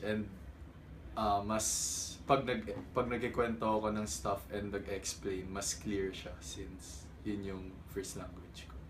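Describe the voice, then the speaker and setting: A young man, a university student answering hesitantly and mixing in Tagalog. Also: lowish voice. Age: young adult. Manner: hesitant, many "uh"s, switches into Tagalog. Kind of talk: casual interview answers. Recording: distant mic, background noise.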